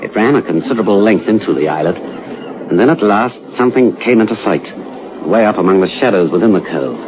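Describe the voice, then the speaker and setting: An actor playing a grave, steady man who recounts a horror at sea in a measured, sombre radio drama style. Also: fairly low pitch, natural voice. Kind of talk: dramatic first-person scripted narration. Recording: dull, noisy old radio recording.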